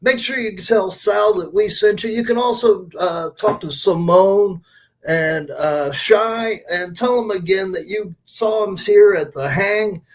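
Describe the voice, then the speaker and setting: A man's voice: high-pitched, unhurried. A man hosting a casual live podcast, with a showy, announcer-like way of talking. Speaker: podcast host.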